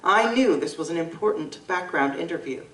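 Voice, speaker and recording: A woman giving measured, scripted first-person narration for a live audio drama. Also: lowish voice, expressive, fairly bright tone. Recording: roomy live stage from a distance.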